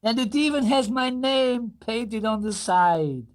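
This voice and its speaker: A kindly old storybook gentleman speaks very slowly and deliberately. His raised voice is courteous and ponderous, halting between phrases. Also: very high-pitched, lively intonation, voice pushed up, full character voice. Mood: delighted, wondering. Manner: slow, halting, deliberate delivery.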